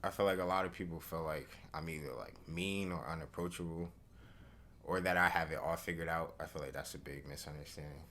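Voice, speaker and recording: A young man being interviewed as a recording artist, talking in a relaxed, slangy way and thinking aloud with fillers. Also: a bass voice, fairly quick. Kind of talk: casual interview chat.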